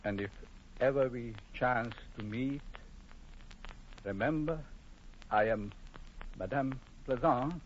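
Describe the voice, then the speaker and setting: An actor playing a stern, bitter doctor and betrayed husband in an old-time radio drama, formal and dramatic with a husky voice. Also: male voice, mid-range voice, takes it slowly, pauses often, a little hiss or hum, natural voice. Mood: grief-stricken. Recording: muffled old radio broadcast.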